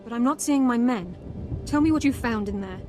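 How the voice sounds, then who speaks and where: An actress playing a tough, world-weary squad commander in a video game, blunt and matter-of-fact. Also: female voice, a little high, animated, brisk, warm tone, faint music behind, close to an everyday voice. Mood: grim. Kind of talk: video game drama acting.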